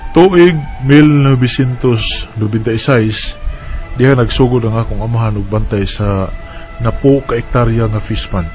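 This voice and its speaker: A man's voice: mid-range voice, measured pace, a little mellow, soft background music, close to an everyday voice. An actor playing a devoted, grateful young adult son who tells his story in a Bisaya radio drama, with a Filipino Bisaya accent. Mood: solemn, reflective.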